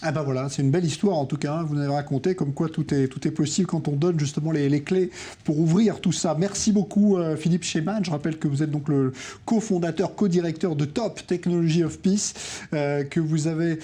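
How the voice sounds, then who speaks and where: A man conducting a TV news interview, asking smooth questions and giving frequent brief acknowledgments. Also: on the high side, a little fast, warm tone. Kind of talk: broadcast interview.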